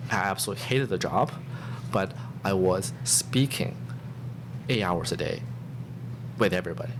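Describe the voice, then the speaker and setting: A man in his thirties whose first language is not English, answering interview questions earnestly with some restarts. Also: deep voice. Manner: earnest, reflective, with frequent restarts. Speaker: podcast guest, staff software engineer. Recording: steady background noise.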